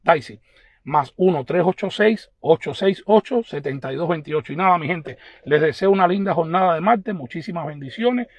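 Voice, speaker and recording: A man's voice: fairly high pitch, animated, normal speed, no room sound. A man with a Havana Cuban accent hosts a live online news commentary, talking to viewers in an emphatic, insistent way and repeating his phrases. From Cuba. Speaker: host of an online news page.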